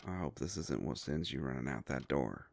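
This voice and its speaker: A man playing a gruff, protective werewolf private eye in an audio roleplay, with a deep gravelly voice and a folksy, halting, gently teasing way of talking. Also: animated, exaggerated voice. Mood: concerned, gentle. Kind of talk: scripted roleplay audio drama, intimate.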